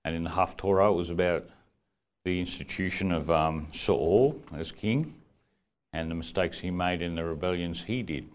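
A man with a croaky voice leading a congregation in earnest prayer and teaching. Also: low voice, moderate pace. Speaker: congregation leader.

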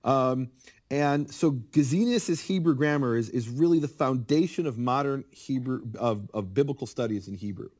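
A middle-aged man speaking as a guest, explaining biblical history in a lively, conversational way and acting out quotes. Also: medium pitch, normal speed. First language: English. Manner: animated, acts out quoted speakers. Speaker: guest scholar of biblical Hebrew. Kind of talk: conversational interview, lecturing.

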